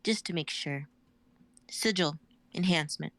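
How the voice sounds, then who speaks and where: A man's voice: a little high, a little slow, a bit bright, voice pushed up. A man voicing a smug young female fighter who wields magic sigils and teases her foes. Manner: smug, teasing.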